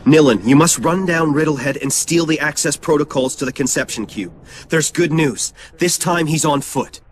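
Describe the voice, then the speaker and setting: An actor playing an urgent, focused mission handler in a video game, giving brisk instructions. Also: male voice, fairly high pitch, a little hiss or hum, close to an everyday voice. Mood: urgent, instructing. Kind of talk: video game cutscene acting.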